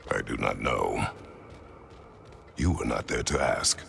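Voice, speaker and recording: A battle-worn warrior father with an extremely low, heavily gravelled voice that has a hard, forceful edge. He speaks in terse, formal, grave sentences, stern and protective, as in a dramatic fantasy game. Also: slow, big-room sound, slight background noise, heavily acted. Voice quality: gravelly, deep. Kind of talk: dramatic video game cutscene acting.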